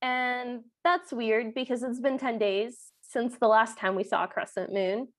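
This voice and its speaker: High-pitched woman chatting through a film recap. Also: steady tone, unhurried. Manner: wry, uses "like" often. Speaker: podcast co-host. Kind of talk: casual, joking film recap.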